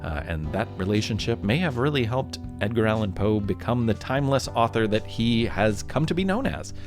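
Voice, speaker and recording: Male kids' history podcast narrator: lowish pitch, highly varied intonation, conversational yet playfully dramatic. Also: very animated, normal speed, music in the background.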